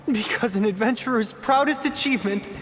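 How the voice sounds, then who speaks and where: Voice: medium pitch, fairly quick, a little hiss or hum, close to an everyday voice. An actor voicing an earnest, timid young novice adventurer who looks up to his heroes in a video game, heard with reverb. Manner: eager, admiring. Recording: game audio with reverb and music.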